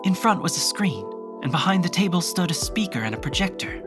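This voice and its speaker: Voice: mid-range voice, big pitch swings, fairly quick, lightly acted. A narrator reading scripted, descriptive scene-setting for an audio drama. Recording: music clearly behind the voice.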